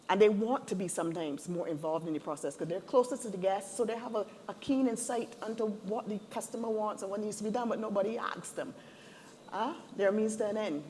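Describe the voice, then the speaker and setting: A woman's voice: lowish voice, darkish tone, slight background noise. A woman speaking earnestly on a webinar panel, building her points in emphatic lists.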